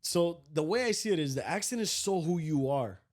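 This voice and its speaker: A man from Cuba with a Cuban accent, hosting a podcast and reacting warmly, calling his guest bro. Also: on the high side, expressive, brisk. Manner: friendly, affirming, says bro a lot. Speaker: podcast host. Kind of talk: casual interview talk.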